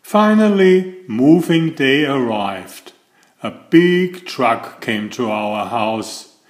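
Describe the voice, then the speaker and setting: Older man reading aloud, lively and unhurried. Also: mid-range voice, echoey room. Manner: slow, deliberate, expressive phrasing. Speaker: children's book reader and host. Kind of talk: expressive read-aloud storytelling.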